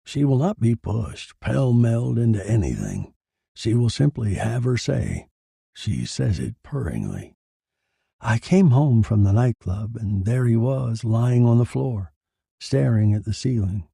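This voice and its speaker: A man acting a gravelly, brooding murdered husband. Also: medium pitch, very animated, unhurried, warm tone, no echo, clean studio sound, full character voice. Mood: wry, brooding. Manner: theatrical, brooding, sardonic. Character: dead murdered husband, bitter, wry, macabre narrating corpse. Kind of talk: dramatic scripted narration of a noir horror story.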